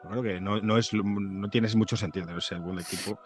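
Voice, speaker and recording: A man's voice: on the low side, steady tone, quick pace, husky, soft background music. A man from Madrid with a Spain Spanish accent, chatting anecdotally as a guest, often hesitating and repeating his words. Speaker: podcast guest, journalist and screenwriter. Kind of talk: casual anecdotal chat.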